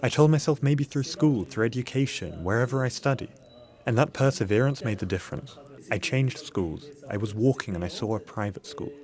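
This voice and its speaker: A man's voice: medium pitch, animated, fairly quick, darkish tone. A man voicing a translation of an interviewee's first-person story, in a sincere read.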